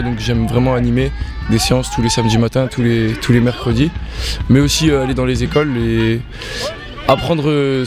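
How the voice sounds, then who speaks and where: A 19-year-old man from Belgium giving casual interview answers, speaking hesitantly in short phrases with pauses. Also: mid-range voice. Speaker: rugby player and youth coach.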